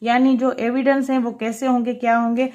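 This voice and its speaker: A woman's voice: fairly high pitch, flat delivery, a little fast, darkish tone, highs cut off. A woman giving an explanatory law lecture, mixing in English terms and often restating her points. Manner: repeats and rephrases points, checking in with the listener.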